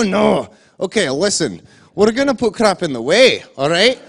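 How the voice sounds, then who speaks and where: A man's voice: high voice, exaggerated voice. A man playing a boastful old Scottish golf legend, telling a rambling comic tale in a Scottish accent. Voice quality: rising, excitable ranting. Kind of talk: comedy sketch storytelling.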